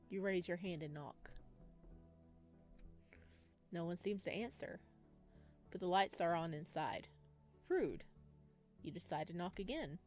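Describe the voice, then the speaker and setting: A woman hosting a gaming video, reading visual novel narration aloud and adding casual asides. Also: lowish voice. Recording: music playing behind the voice.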